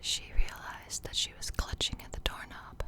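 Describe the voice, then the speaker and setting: A woman reading fiction aloud in a hushed, ASMR-style whisper. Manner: slow, hushed, unvoiced delivery. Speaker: audiobook reader.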